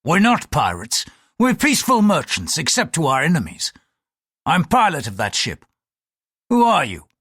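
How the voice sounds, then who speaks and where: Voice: medium pitch, huge pitch swings, moderate pace, a bit bright, breathy edge, no room sound, clean studio sound, pitched above the performer's own voice, full character voice. An audiobook reader voicing a bold, taunting ship's pilot who talks bluntly and defiantly. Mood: defiant, questioning.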